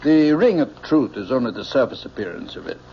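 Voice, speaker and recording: A man's voice: medium pitch, big pitch swings, a little fast, creaky. An actor playing a lofty, absent-minded older professor and father, hesitant and pedantic, in an old-time radio drama. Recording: muffled old radio recording, faint noise.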